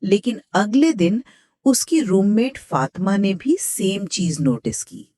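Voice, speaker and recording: A woman's voice: mid-range voice, big pitch swings, slow, dark tone, dry recording, studio quality. A woman with a Pakistani accent hosting and reading a scripted horror story. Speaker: host, horror story narrator.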